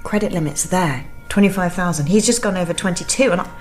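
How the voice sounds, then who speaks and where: A woman acting an anxious, low-voiced young wife. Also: fast talker, faint music behind, natural voice. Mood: agitated, confiding. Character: anxious, secretive, grieving young wife convinced of murder. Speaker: actor in an audio drama. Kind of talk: naturalistic radio thriller acting.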